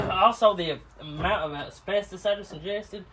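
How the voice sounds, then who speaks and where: A man's voice: high-pitched, a little slow. A man, joking around while pulling brakes off a car at a salvage yard. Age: 20s. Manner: playful, joking, lively. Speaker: car hobbyist. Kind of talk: casual chat while working. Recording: clear background noise.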